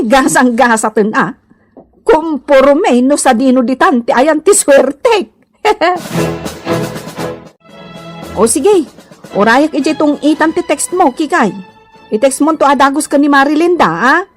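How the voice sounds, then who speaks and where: A struggling Ilocano housewife in a radio comedy drama. Her high voice swoops widely as she whines and exclaims, drawing out words at an unhurried pace. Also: lively intonation, soft background music. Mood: musing, hopeful. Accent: Ilocano Filipino. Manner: exclaiming, wailing, drawn-out. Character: struggling, whiny, dramatic Ilocano wife and mother, small-time gambler.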